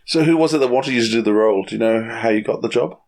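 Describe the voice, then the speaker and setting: Mid-pitched male podcast interviewer. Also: fast talker, a little mellow. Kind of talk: conversational interviewing.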